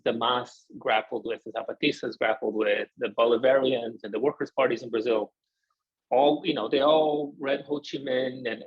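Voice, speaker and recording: A man moderating an academic discussion off the cuff, often pausing and searching for his words. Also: mid-range voice, slightly rough, muffled recording.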